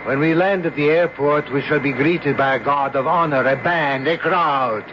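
A man's voice: on the high side, moderate pace, lightly acted. An actor playing a suave, formal male emissary of a monarchy in a 1970s radio drama. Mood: ceremonious. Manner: formal, ceremonious, smoothly commanding. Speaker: foreign official escorting the actress. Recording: muffled old radio broadcast with hiss.

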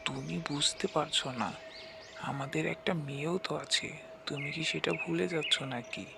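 A man's voice: on the high side, normal speed, creaky, music in the background. A man playing a worried, humble village husband in a cartoon, earnest and pleading. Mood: anxious, persuading. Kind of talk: Bengali cartoon character voice acting.

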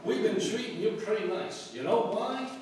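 Gruff 1930s gang boss played by a man, bossy, fairly high; live radio-comedy staging, far-off echoey sound. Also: moderate pace, darkish tone, slight background noise, natural voice. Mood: menacing. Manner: gruff tough-guy talk. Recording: echoey room from a far camera.

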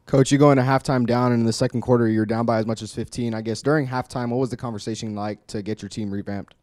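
A man's voice: mid-range voice, fairly even intonation, quick pace, darkish tone, dry recording, studio quality. A man reporter asking direct questions at a press conference.

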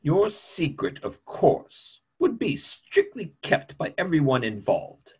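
A middle-aged man playing a careworn, formal family doctor in an online play reading, with measured, hesitant phrasing. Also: on the high side, very animated, darkish tone, husky, exaggerated voice. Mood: guarded, indignant. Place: small room at home, video call.

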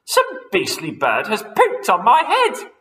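Man voicing a screechy, nasty old woman for a storybook, high and sharp. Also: sing-song, a little fast, roomy sound, far from the mic, heavily acted. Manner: screechy and scolding.

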